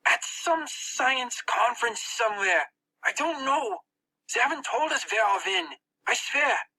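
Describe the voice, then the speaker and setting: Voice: medium pitch, animated, unhurried, sharp tone, pitched above the performer's own voice, low-quality recording. A narrator voicing a snarling, cornered teenage boy in an audiobook, with a strained, emphatic delivery. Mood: pained, panicky. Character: Max, snarling Hitler Youth teenage boy, cornered, defiant. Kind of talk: audiobook character voice.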